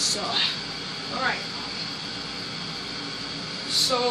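High-voiced young man, rambling vlog. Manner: rambling, hesitant, many fillers and restarts. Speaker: YouTuber. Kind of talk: casual home vlog. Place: small dim bedroom indoors. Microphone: phone camera moved around room. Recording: noisy home phone recording.

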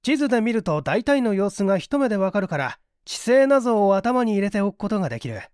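A man voicing a methodical gentleman detective in an audiobook, assured and analytical as he explains. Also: high voice, big pitch swings, fairly quick, clean tone, dry recording, clean studio sound. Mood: explaining. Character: confident, methodical gentleman detective in a mystery story.

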